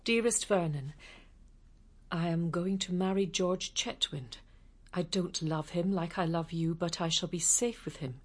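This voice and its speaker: An actress playing a devoted singer, tender but sharp-tongued when hurt, in a radio drama. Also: female voice, lowish voice, measured pace. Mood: tender, sorrowful. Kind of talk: broadcast radio drama acting.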